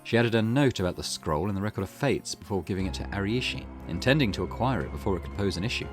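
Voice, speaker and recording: A man's voice: fairly low pitch, lively intonation, fast. A man hosting a gaming channel, reading a visual novel's text aloud and adding casual asides. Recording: game music playing behind.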